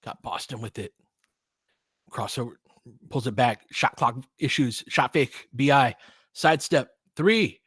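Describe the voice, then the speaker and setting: A man giving informal live basketball play-by-play as a partisan fan, speaking in terse, clipped phrases and getting excitable on big plays. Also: medium pitch, unhurried, breathy edge, no echo, studio quality.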